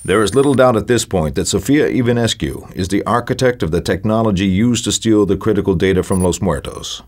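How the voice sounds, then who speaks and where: A man playing a formal, authoritative spy agency briefer, reading a measured scripted mission briefing. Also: fairly low pitch, a little mellow, natural voice. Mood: official, grave. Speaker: mission briefing narrator in a video game.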